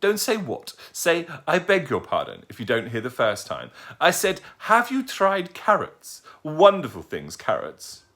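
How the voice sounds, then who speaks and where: A man's voice: huge pitch swings, unhurried, few pauses, a bit bright, heavily acted. A man voicing a fussy, kindly old lady with poor eyesight, who talks in a prim, scolding way. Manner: prim, scolding, high and quavering.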